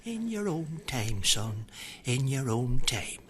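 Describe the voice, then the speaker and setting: A man's voice: mid-range voice, slow. A patient barman. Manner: calm, fatherly, calls the customer son. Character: tiny old bartender, patient and wry.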